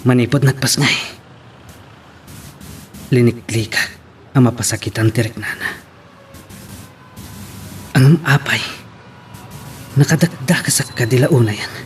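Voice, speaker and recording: A man's voice: mid-range voice, unhurried, long pauses, slight background noise, lightly acted. A man with an Ilocano accent acting a sorrowful young father who misses his child in a love drama.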